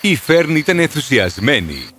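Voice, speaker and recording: A man's voice: mid-range voice, big pitch swings, background noise. A man reading scripted fairy tale narration for children.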